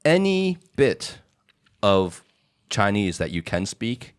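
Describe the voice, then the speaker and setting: Low-voiced man rambling with lots of "like". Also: sing-song, slow pace, smooth. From Hong Kong. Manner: rambling, lots of "like", laughs often. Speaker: podcast host. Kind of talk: casual conversation.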